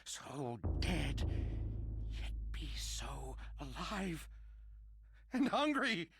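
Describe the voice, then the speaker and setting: A man's voice: fairly high pitch, very animated, drawn-out words, gravelly, heavy background noise, heavily acted. An actor voicing a tormented, half-mad man raving in horror, in a grandiloquent, theatrical monologue for a horror game. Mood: frantic, raving. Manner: grandiloquent, dramatic, swinging from whisper to shout.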